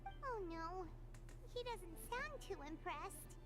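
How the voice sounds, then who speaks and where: A woman voicing a tiny, brash, excitable childlike fairy companion in a video game, with a squeaky voice and dramatic exclamations. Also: slow pace, over music, heavily acted. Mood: worried.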